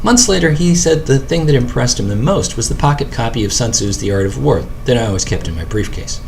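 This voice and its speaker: A man's voice: mid-range voice, animated, a little fast, creaky, a little mellow, slight background noise. A middle-aged American man reading his own first-person memoir as scripted audiobook narration.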